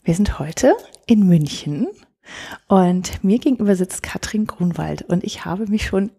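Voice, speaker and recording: A woman's voice: lowish voice, a little slow, warm tone, husky, no room sound. A woman hosting a podcast interview, talking in a hesitant way with many fillers, recorded in a coworking space. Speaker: podcast host. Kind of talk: conversational interview.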